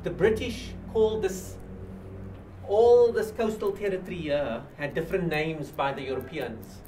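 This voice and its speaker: An older man with a South African accent giving an informal history lecture to a small class, often halting and repeating words as he explains. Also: on the high side, dark tone. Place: small indoor seminar room. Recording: distant mic with light background noise.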